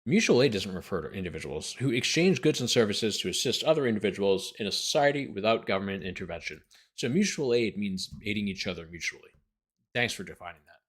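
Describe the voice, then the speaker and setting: A man's voice: fairly low pitch, lively intonation, fast talker. A man hosting as a lawyer, reading a document aloud and breaking off for incredulous, sarcastic commentary. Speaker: lawyer host.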